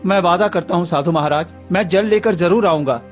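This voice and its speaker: Earnest village man played by an actor, fairly high male voice. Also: brisk, soft background music, lightly acted. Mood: determined. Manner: pleading, earnest. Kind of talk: Hindi moral-story cartoon dialogue.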